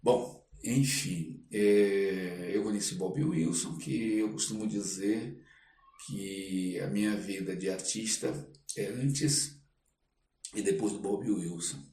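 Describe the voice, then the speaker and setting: An older man with a Brazilian Portuguese accent tells stories as an interview guest, in a halting way, repeating phrases for emphasis. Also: fairly low pitch, warm tone, recorded from a distance. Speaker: podcast guest, stage performer. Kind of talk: conversational storytelling interview. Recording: roomy echo, off-mic, faint noise.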